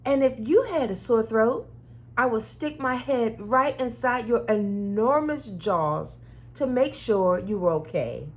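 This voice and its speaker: A woman reading the part of a loving, patient mother in a picture book, tender, soothing and expressive. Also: a little high, slow, low-quality recording. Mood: caring.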